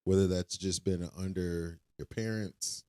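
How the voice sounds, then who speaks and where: A young man with a very low voice talking earnestly on a podcast. He sounds reassuring and circles back over his own words as he thinks aloud. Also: steady tone, measured pace, darkish tone. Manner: earnest, reassuring, repeats himself. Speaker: podcast co-host. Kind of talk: casual faith-based podcast chat.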